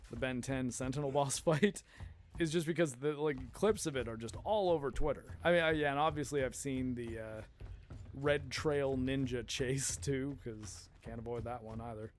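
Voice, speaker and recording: A man streaming a game, rambling in casual commentary with a hoarse voice and frequent laughter. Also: mid-range voice, normal speed. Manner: chatty and rambling, laughs often.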